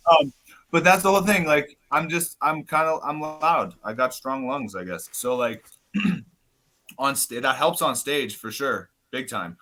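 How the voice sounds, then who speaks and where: A man guest on a podcast, talking loud and casual, swearing often and rambling as he goes. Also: medium pitch, a little slow. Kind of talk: casual chat.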